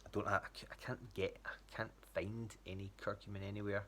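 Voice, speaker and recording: Scottish male, low voice, informal and halting check-in style, noisy recording. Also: little pitch movement, sharp tone. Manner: hesitant, rambling. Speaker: athlete giving a training check-in to a coach. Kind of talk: casual spoken update.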